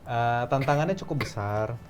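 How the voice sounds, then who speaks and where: A man who is a guest actor, talking in a relaxed, thoughtful way with many fillers. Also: medium pitch, normal speed, slight background noise. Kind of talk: casual interview chat.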